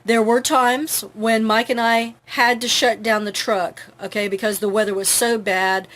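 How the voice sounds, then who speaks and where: A woman's voice: fairly high pitch, flat delivery, measured pace, a bit bright. A middle-aged woman hosting an informal how-to talk, chatty, with many fillers. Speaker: host.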